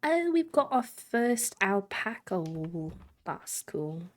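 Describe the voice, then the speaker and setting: A woman's voice: medium pitch, very animated, takes it slowly. An American woman chatting casually on a game stream in a rambling, self-deprecating way. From United States. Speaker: live streamer.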